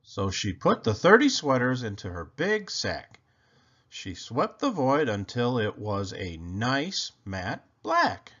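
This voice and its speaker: A man reading a children's picture book aloud expressively, with theatrical, deliberate phrasing, on slightly dull, lo-fi audio. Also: mid-range voice, wildly swooping pitch, fairly bright tone.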